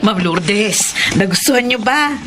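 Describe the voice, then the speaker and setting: A woman's voice: medium pitch, lively intonation, slow pace, loud music behind the voice. A woman playing a chatty, meddling middle-aged housemaid in a radio drama, breezy and insistent. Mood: cheeky, proud. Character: chatty, cheeky, meddling housemaid, mother of a student. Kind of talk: Tagalog radio drama acting.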